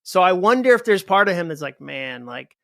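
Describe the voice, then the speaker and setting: Guy from new england in his 40s, gravelly voice, rambly animated stories, dry close mic. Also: sits high, brisk, no echo. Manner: rambling, animated storytelling with many fillers. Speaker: podcast guest. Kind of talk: casual conversation.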